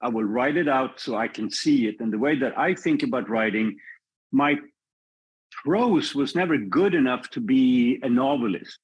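Husky-voiced man speaking thoughtfully. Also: mid-range voice, lively intonation, dull audio. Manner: thoughtful, measured, with frequent restarts. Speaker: filmmaker guest. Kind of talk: reflective podcast conversation.

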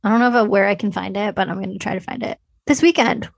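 A woman's voice: medium pitch, fast talker, vocal fry, a crowd in the background. A woman co-hosting a podcast, chatting casually about film in an informal, conversational way peppered with filler words. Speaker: podcast co-host.

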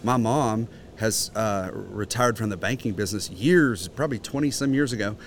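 Low-voiced man in his forties, chatty. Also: a little hiss or hum. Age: 40s. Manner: earnest and chatty, many restarts. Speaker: interview guest. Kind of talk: casual interview. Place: outdoor conference grounds.

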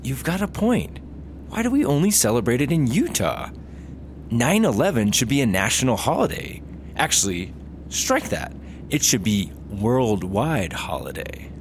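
Excitable, eager young traveler, man playing, lively pitch, noisy plane-cabin background. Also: unhurried. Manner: excitable, pitch jumps high when excited. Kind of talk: comedy audio drama acting.

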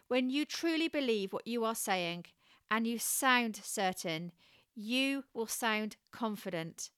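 A woman with a West Country English accent, giving scripted coaching advice in a measured, encouraging way. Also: fairly high pitch, a bit bright, clear, resonant tone, dry recording, clean studio sound. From England. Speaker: communication coach.

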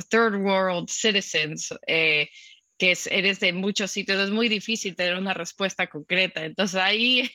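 A woman's voice: mid-range voice, sharp tone, no room sound. A woman in tech, a podcast guest, talking in an upbeat way and laughing often. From born in the United States, raised in the Dominican Republic, Ecuadorian family. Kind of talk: conversational interview.

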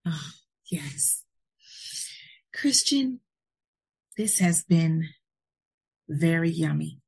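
A woman's voice: deep voice, lively intonation, drawn-out words, gravelly, darkish tone, husky, rough recording. A woman hosting a podcast, effusive and gushing with thanks and praise for her guest. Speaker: podcast host. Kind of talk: casual interview talk.

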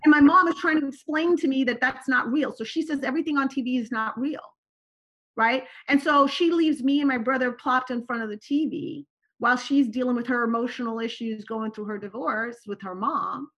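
A woman's voice: high-pitched, a little fast, dull audio. A woman whose first language is Turkish, telling personal stories as a guest and often adding "right?" to her sentences. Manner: animated, tags phrases with "right?". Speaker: podcast guest. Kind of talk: personal storytelling in conversation.

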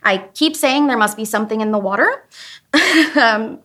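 A woman's voice: a little high, normal speed, fairly bright tone. A woman hosting a podcast, introducing and conducting an interview.

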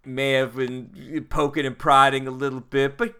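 A man's voice: medium pitch, level tone, normal speed, bright, forceful tone, clean tone, lightly acted. A man playing a laid-back, friendly van-dwelling drifter who rambles in easygoing slang at a tabletop game. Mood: easygoing, coaxing.

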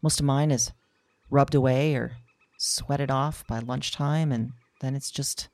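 A woman playing a weary, wry modern woman in an intimate audio drama monologue, speaking in a reflective, halting, confiding way. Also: rumbling low, steady tone, a little mellow, no echo. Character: weary, wry, candid modern woman recounting her dreams.

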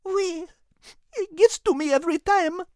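Woman playing a dainty high-voiced French lady. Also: measured pace, a little mellow, no echo, studio quality. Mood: moved. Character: polite, charming French female animal co-host. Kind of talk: scripted character banter on a podcast.

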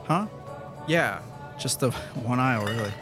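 A man's voice: mid-range voice, expressive, measured pace, lightly acted. Man acting withdrawn widower, music behind. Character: grieving, withdrawn, prickly widower scientist. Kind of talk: naturalistic audio drama acting.

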